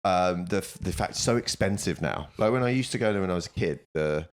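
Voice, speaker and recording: A young man co-hosting a podcast, talking loudly and telling stories in casual chat with plenty of swearing. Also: sits low, fast. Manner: loud, lively storytelling with swearing. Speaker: podcast co-host.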